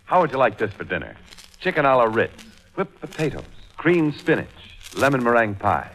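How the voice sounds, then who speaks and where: A man's voice: mid-range voice, sing-song, fairly quick, background noise. An American man announcing in a polished, persuasive 1940s radio commercial style.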